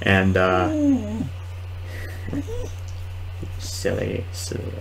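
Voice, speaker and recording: A man's voice: low voice, wildly swooping pitch, drawn-out words. A man in his twenties doing casual let's play commentary, rambling and muttering hesitantly to himself in a room at home. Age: 20s. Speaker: gamer, video host. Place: small room at home, indoors. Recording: clearly noisy home recording.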